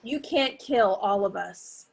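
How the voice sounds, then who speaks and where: A woman's voice: mid-range voice, expressive, slow, gravelly, slightly rough, heavily acted. A woman playing a cold, righteous cult leader in a dramatic table read, stern and imperious in her delivery. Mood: defiant.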